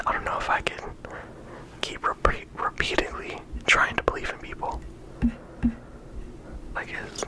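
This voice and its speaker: A person whispering in ASMR style, talking through a discussion in a casual, halting way with lots of filler words. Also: poor audio. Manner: casual, halting phrasing with frequent "like" and "you know". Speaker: video creator and host.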